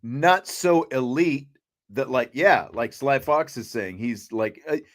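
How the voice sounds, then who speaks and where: A man's voice: medium pitch, takes it slowly. A man hosting a casual sports discussion, explaining his picks in a conversational way. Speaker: sports talk show host.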